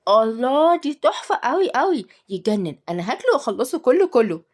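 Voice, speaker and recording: A sweet young nanny, voiced by a woman reader, playful, polite and coaxing in a storybook tale. She speaks quickly and expressively, with tenderness. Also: medium pitch, lively intonation, darkish tone, natural voice.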